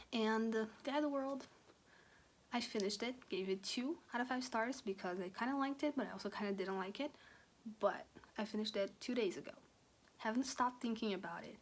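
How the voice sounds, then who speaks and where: A young woman from Brazil, a native Portuguese speaker with a Brazilian accent, giving a candid, animated book review chat with casual swearing. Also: on the high side, a little hiss or hum. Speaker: book vlogger.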